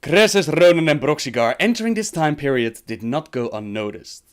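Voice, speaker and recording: A man's voice: fairly high pitch, very animated, a little fast. A man hosting and narrating scripted game lore as a storyteller, starting sentences emphatically and letting the endings fall away. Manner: emphatic sentence openings, trailing falling endings. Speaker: video narrator and host.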